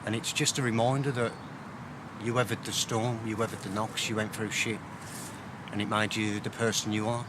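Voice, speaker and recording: Low-voiced man speaking frankly over noise. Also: level tone. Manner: candid, plain-spoken, halting. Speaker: poet. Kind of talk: frank personal reflection and poetry reading. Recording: noisy ambience, rumbling background noise.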